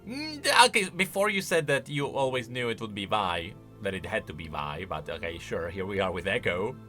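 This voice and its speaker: A man's voice: lowish voice, sing-song, a little fast, pushed and bright, faint music behind. A man giving casual, exclamatory reaction commentary, thinking aloud as a video creator.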